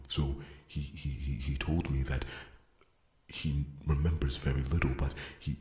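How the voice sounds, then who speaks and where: Very deep, halting fantasy blacksmith, played by a man. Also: darkish tone. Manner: halting stammer, repeating words earnestly. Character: earnest devoted male fantasy blacksmith warrior and brewer. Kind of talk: ASMR fantasy roleplay monologue. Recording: roomy, echoing sound.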